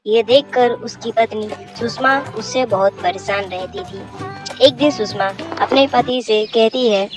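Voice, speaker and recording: Higher-pitched woman narrating a children's story. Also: a little slow, music in the background.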